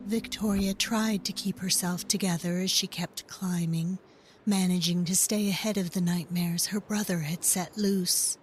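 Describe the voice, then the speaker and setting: A woman narrating a dark story in a hushed, deliberate storybook manner. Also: lowish voice, steady tone, slight background noise, low-quality recording.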